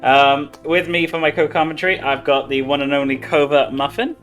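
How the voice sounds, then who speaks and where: A man's voice: a little high, fairly even intonation, a little fast, a bit bright. A man giving casual live commentary as he speedruns a video game. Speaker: speedrunner playing live at a gaming marathon. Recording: game music behind the voice.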